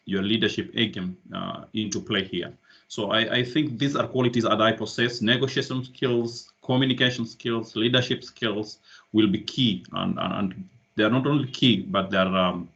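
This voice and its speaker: Low-voiced man making a formal campaign pitch. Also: gravelly, a bit bright, muffled recording. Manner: formal, repeats words for emphasis. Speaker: candidate in a student election. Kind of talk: campaign answer at an online hustings.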